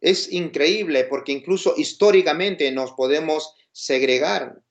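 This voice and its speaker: A man's voice: on the high side, a little slow. A man with a Peruvian Spanish accent giving an informal, explanatory lecture, posing questions and checking in with his listeners. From Peru. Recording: dull, lo-fi sound.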